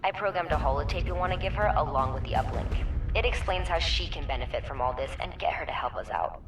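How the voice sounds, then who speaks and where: An actress voicing a brisk woman quest-giver who sends the player on errands from her bunker. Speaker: quest-giving character. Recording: roomy, distant game audio with faint rumble.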